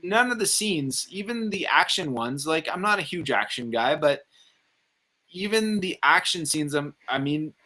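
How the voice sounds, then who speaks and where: A man's voice: fairly high pitch, lively intonation, measured pace, sharp tone. A man talking casually about movies, opinionated and rambling, with some swearing. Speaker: film review show panelist.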